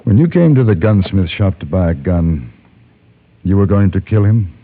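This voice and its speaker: An actor playing a calm, probing frontier gentleman who is hero and narrator of a Western radio drama, measured and understated in his questioning. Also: low voice. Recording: dull old radio recording with music.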